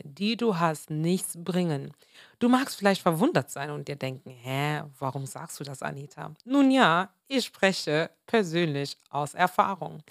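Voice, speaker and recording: A young woman in her twenties talks in a slightly hoarse, lowish voice, earnest and emphatic as she hosts her own podcast. The sound is dry and close, with no room around it. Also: no echo. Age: 20s. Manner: earnest, emphatic, mixes in English words. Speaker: podcast host. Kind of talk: motivational solo podcast.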